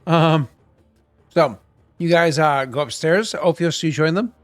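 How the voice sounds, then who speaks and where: A man's voice: fairly high pitch, unhurried, dry recording, faint music behind. A man running a tabletop game as game master, rambling with many fillers and restarts on a home microphone.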